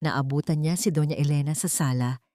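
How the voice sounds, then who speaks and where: A woman narrates a dramatic story from a script. Her voice sits a little low. The recording is very dry, with no room sound. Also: a little fast, warm tone, no echo.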